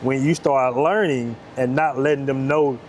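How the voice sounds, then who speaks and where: A man's voice: medium pitch, fairly even intonation, normal speed, a little hiss or hum. A man hosting a casual interview, talking in a conversational, emphatic way. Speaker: host.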